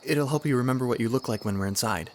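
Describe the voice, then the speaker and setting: A man's voice: mid-range voice, quick pace, close to an everyday voice. A man playing an earnest, eager young unicorn student of magic in a fan radio drama. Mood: friendly, explaining. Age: young adult.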